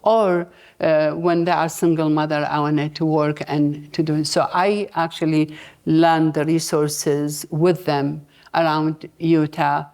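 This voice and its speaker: A middle-aged or older woman from Iraq, a native Arabic speaker with an Iraqi accent, telling her personal story at a live event in halting, non-native phrasing. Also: low-pitched, flat delivery, darkish tone. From Baghdad, Iraq. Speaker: interview guest, nonprofit founder.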